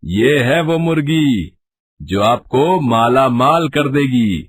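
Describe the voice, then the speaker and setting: Sly village trader for a cartoon fable, acted by a man: husky mid-range male voice, slow deliberate patter. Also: mid-range voice, lively intonation. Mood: sly, persuasive. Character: sly village men: servant, hen-seller, goldsmith. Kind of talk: Hindi cartoon moral story acting.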